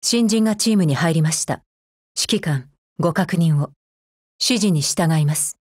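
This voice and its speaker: A woman's voice: on the low side, moderate pace, darkish tone, breathy edge, no echo, studio quality. A woman playing a cold, efficiency-minded female combat android in a mobile game, speaking formally in a clipped, stern, unemotional way. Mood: businesslike, composed. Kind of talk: scripted mobile game character voice lines.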